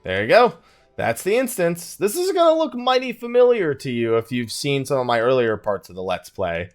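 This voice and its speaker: A man's voice: mid-range voice, very animated, normal speed. A man giving casual let's play commentary, rambling and thinking aloud as he plays. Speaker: gaming YouTuber hosting a let's play.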